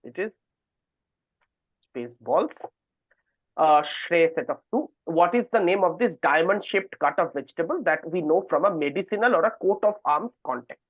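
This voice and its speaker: Male quizmaster, fairly high voice, reading questions, thin phone-like sound, dry. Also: expressive, normal speed, no room sound. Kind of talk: reading quiz questions aloud to contestants. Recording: narrow phone-like call audio.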